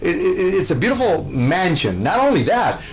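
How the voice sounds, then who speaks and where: A man's voice: sits high, sing-song, moderate pace, soft background music. A man from Costa Rica, a show host, answering interview questions with gushing enthusiasm, full of fillers and restarts.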